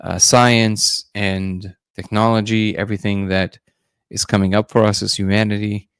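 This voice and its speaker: A man's voice: fairly low pitch, little pitch movement, normal speed, a bit bright, clean tone, dry recording, studio quality. A man hosting a casual livestream, musing loosely and greeting viewers by name. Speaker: livestream host.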